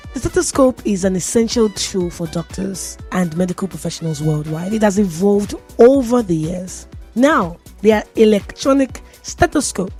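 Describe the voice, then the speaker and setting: Woman, Nigerian accent, radio host, casual and joking, medium pitch, soft music behind. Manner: chatty and playful, laughs often. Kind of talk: casual radio tech talk. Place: radio studio.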